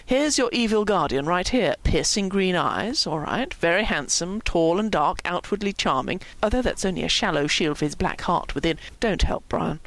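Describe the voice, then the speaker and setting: A pompous storybook young man with wounded pride acted by a woman, exasperated yet measured and quiet. The recording is dry. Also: lowish voice, animated, dry recording, low-quality recording. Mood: wounded dignity.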